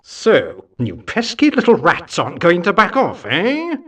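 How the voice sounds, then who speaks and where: A man's voice: sits high, huge pitch swings, a little slow, far from the mic, heavily acted. A man voicing a haughty mad-scientist villain in a video game, theatrical, pompous and condescending. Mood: haughty, menacing. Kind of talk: video game cutscene voice acting.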